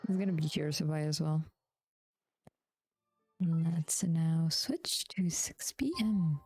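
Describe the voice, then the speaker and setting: A woman live streaming a video game, reacting casually in a slightly flat, mellow voice. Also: low voice, takes it slowly, slightly rough, dry recording, soft background music.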